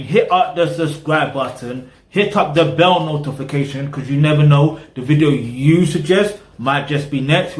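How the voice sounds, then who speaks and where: A man's voice: fairly high pitch, reverberant. A British man, casually chatting through a music reaction video and rambling with lots of "you know what I mean". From Britain.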